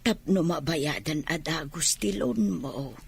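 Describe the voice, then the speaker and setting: A woman playing a gentle, supportive middle-aged village mother in a radio drama, with a husky voice. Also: deep voice, lively intonation, slow, full character voice. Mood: tender, supportive. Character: gentle, supportive village mother who saves for her child.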